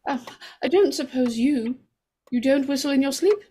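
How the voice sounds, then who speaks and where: Casting: actress as timid young bride-to-be, very high voice, hesitant and uneasy, vintage radio-mystery style. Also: female voice, mellow, a little hiss or hum, close to an everyday voice. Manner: halting, tentative. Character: Julia, nervous, hesitant young bride-to-be, sweet sister. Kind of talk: live old-time radio mystery acting.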